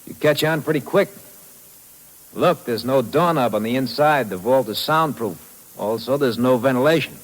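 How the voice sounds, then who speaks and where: An actor playing a scheming, cold-blooded American killer in a 1950s radio drama, with a sly, gangster-like delivery. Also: male voice, medium pitch, animated, moderate pace, lightly acted. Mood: gloating menace. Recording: noisy old radio recording.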